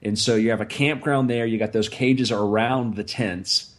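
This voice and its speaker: A man with a hoarse, raspy voice tells an animated story in a relaxed podcast chat. His voice sits fairly low, and he stretches out key phrases for comic effect. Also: moderate pace. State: hoarse from screaming. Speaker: podcast co-host. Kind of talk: casual chat.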